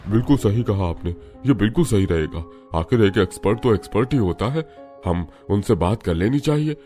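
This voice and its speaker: A man's voice: on the low side, animated, moderate pace, dark tone, close to an everyday voice. Guy playing an agreeable earnest villager in a cartoon, music underneath.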